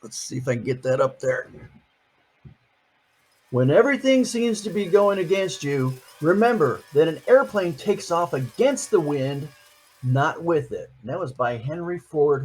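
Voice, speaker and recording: Older man, grandfather type, husky and lively, reading a picture book to the grandkids, playful asides. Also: a little high, measured pace, low-quality recording. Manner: playful, chatty, asks the listeners questions.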